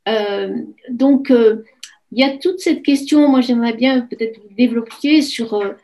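A woman's voice: a little high, a little slow, dark tone, rough recording. A woman in her sixties conducting an academic interview, asking long, reflective, winding questions. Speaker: interviewer, emeritus professor of architecture. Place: home office with bookshelves. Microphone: computer video call.

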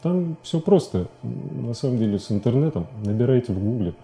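A man in his forties talking casually as a studio interview guest, colloquial and halting as he thinks aloud. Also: mid-range voice, lively intonation, gravelly, mellow, faint music behind. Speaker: interview guest, animation filmmaker. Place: TV studio, indoors.

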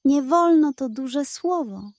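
A woman's voice: high voice, lively intonation, slow, a little mellow, dry recording, pitched above the performer's own voice. A woman voicing a sly, greedy little male pug in a children's bedtime story, cooing and coaxing with dramatic flair. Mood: wheedling. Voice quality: cooing, wheedling. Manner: dramatic, coaxing delivery with diminutives.

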